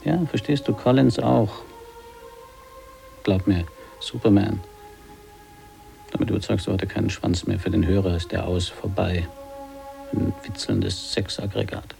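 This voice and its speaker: Intense gag writer in a radio play: heavy creak, dark tone, clipped storytelling. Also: male voice, fairly low pitch, fairly even intonation, faint music behind. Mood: insistent. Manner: intense, halting, telegraphic phrasing. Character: earnest, insistent male radio gag writer pitching scenes.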